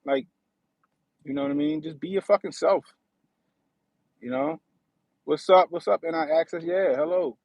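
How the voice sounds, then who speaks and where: A man's voice: fairly high pitch, moderate pace, pauses often, dry recording, muffled recording. A man in his thirties hosting a casual livestream, talking in a slangy, profane way and often asking "you know what I mean". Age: 30s. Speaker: livestream host.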